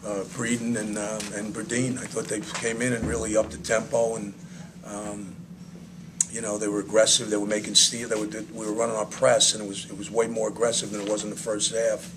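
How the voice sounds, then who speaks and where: An older man, a head coach, answering postgame questions at a press conference in a halting, subdued way with many restarts. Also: fairly low pitch, level tone. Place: small press room with sponsor backdrop. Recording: noisy room ambience.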